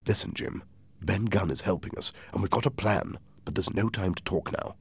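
A man voicing a calm, principled doctor in a storybook reading. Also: fairly low pitch, normal speed, creaky, husky, no echo, heavily acted. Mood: low, serious.

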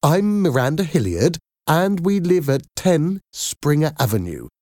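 Storybook mother, male reader: earnest, anxious, easily exasperated, higher pitched, very expressive. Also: measured pace, no room sound, clean studio sound. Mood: surprised.

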